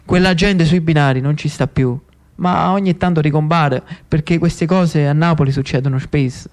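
A man's voice: a little high, normal speed, a little mellow. A man with a Neapolitan accent delivers a theatrical storytelling monologue, dramatic and lyrical, lingering on short repeated phrases. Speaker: actor-storyteller. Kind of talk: theatrical narrative monologue in Italian with dialect.

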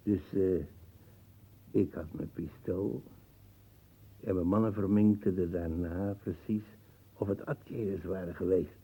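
An actor playing a brutal, boastful old colonial veteran in a radio drama, rambling coarsely and searching for words. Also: male voice, sits low, steady tone, unhurried, pauses often, warm tone, husky, full character voice, rough recording. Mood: smug reminiscing. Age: older. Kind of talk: radio drama acting, monologue reminiscence.

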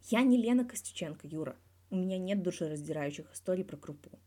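A woman's voice: lowish voice, expressive, quick pace, mellow. A woman voicing a guarded male hockey star in his thirties, talking candidly and wryly in a scripted interview from a fan fiction audio reading. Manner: candid, wry, colloquial with swearing.

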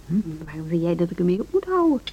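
A woman's voice: lowish voice, very animated, quick pace, warm tone. An actress playing a weary, reluctant woman at home in a radio drama, speaking in terse, listless phrases. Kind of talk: naturalistic radio drama dialogue. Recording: dull, noisy radio play recording.

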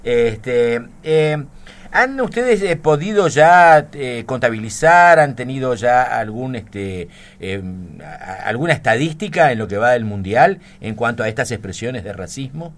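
A man's voice: mid-range voice, lively intonation, unhurried. A man with a Latin American Spanish accent interviewing a guest in a polite, conversational and somewhat hesitant way. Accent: Latin American Spanish, voseo. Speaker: radio interviewer.

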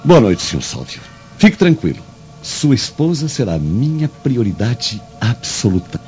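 An actor playing a scheming, obliging guardian in a radio drama, sly and deferential in manner. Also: male voice, mid-range voice, very animated, measured pace. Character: scheming, obliging male guardian in ancient Jerusalem. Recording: muffled audio with faint music.

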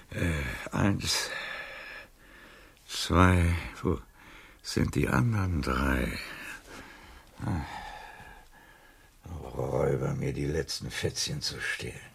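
An actor playing a frail, proud, aging gallant in a radio drama, musing theatrically in a husky, gravelly voice. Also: male voice, a bass voice, heavily acted. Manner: slow, theatrical, musing to himself. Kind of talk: literary radio drama acting.

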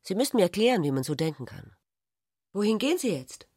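A woman's voice: mid-range voice, big pitch swings, fast, a little mellow, slightly rough, dry recording, clean studio sound. A woman playing a spirited, teasing, headstrong wife in a literary radio play, direct and provoking in manner. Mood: insistent.

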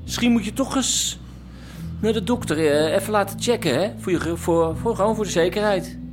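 An actor playing a calm, chatty male masseur in a naturalistic radio drama, talking in a soothing, colloquial way. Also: high-pitched, fairly quick, background noise, lightly acted. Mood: concerned.

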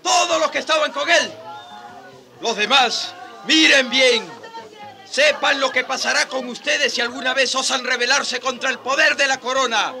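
A shrill, snarling colonial commander. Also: male voice, moderate pace, creaky, pushed and bright, heavily acted. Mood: menacing, merciless. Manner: barking orders, menacing. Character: cruel, domineering colonial commander, contemptuous and vengeful. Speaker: actor. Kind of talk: radio drama villain acting. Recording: radio drama, music and faint crowd.

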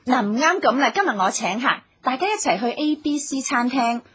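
Husky-edged high-voiced scheming young woman, acted by a woman. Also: expressive, brisk, a bit bright, heavily acted. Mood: gossipy. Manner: coaxing, gossipy, exclaiming. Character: chatty scheming young woman, sweetly meddling secretary. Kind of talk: Cantonese radio drama acting.

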